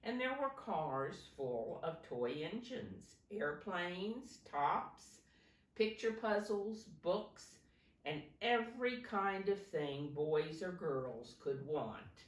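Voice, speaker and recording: A woman reading a picture book aloud to young children like a teacher, in simple deliberate phrases, pausing to point things out. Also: lowish voice, animated. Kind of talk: animated children's storybook read-aloud. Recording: roomy echo, distant microphone.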